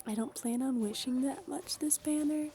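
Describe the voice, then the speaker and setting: A young woman talks in a hushed whisper, rambling gently with frequent ums. Her voice is mellow and a little raspy from a dry throat. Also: high voice, moderate pace, faint music behind. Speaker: gaming YouTuber. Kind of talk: soft-spoken whispered gameplay chat.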